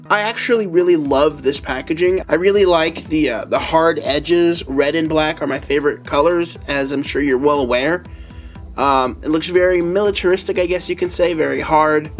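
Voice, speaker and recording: Young man, raspy from a cold, casual toy-review host, fairly high voice, faint music behind. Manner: casual, chatty, with filler ums and laughs. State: hoarse from a cold.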